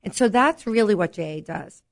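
Woman, New York accent, Brooklyn, upbeat, explanatory, middle pitch. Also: lively intonation, moderate pace. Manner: upbeat, explanatory, restarts often. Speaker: nonprofit guest. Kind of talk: casual chat.

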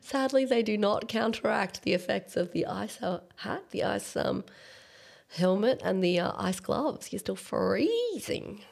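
A woman, her voice croaky from coughing, chatting casually as a co-host with lots of fillers and laughs. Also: medium pitch, very animated, a little slow, darkish tone. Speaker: podcast co-host. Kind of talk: casual chat.

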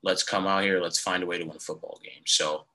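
Young dude with a shot, hoarse voice doing his sports podcast, deep and kinda sharp, rambles a lot. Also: steady tone, brisk. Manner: rambling, filler-heavy. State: hoarse, lost voice. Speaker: podcast host. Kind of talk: casual sports podcast talk.

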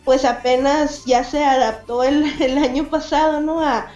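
A woman's voice: high-pitched, steady tone, a little slow, a little mellow, echoey room, highs cut off, soft background music. A woman from Mexicali, a cartoonist chatting as an interview guest, full of fillers and restarts. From Mexicali, Baja California, Mexico. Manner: chatty, many fillers and restarts, laughs often. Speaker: guest, cartoonist and event organizer. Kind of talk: casual interview chat.

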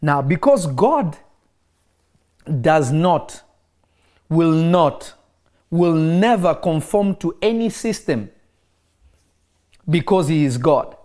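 A man who grew up in Kenya, preaching in a relaxed interview and driving his points home by repeating key phrases. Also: on the high side, slow, long pauses. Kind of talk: conversational preaching.

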